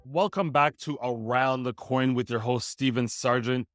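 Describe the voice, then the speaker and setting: A man's voice: medium pitch, steady tone, normal speed. A man hosting a podcast, giving an upbeat intro and asking interview questions. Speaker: podcast host.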